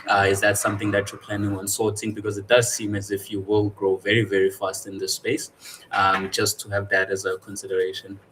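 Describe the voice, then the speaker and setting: A man on a judging panel speaks slowly and deliberately in a low, even voice. His pitch hardly moves, and a faint noise sits under his words. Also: poor audio. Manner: slow, deliberate and encouraging. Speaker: panel judge. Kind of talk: live online panel feedback.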